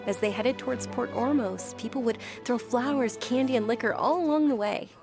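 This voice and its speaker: An actress voicing a gentle, earnest young noblewoman in a video game, with a polite, softly enthusiastic manner. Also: on the high side, a little fast, music in the background, lightly acted. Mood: pleasant, explaining.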